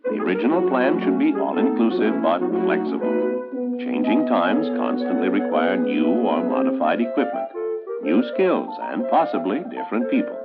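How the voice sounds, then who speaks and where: An American man narrating a 1960s instructional film in an authoritative, emphatic, measured oratorical way. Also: on the low side, very animated. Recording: tinny band-limited 1960s film soundtrack, loud music. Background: loud musical score.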